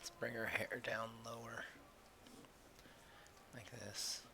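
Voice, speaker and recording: A man live-streaming his sketching, mumbling his thoughts aloud as he draws, a little hoarse from a cold. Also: mid-range voice, level tone, takes it slowly, pushed and bright. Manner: mumbled thinking aloud, trailing off. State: slightly hoarse, losing his voice. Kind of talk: casual talk while drawing.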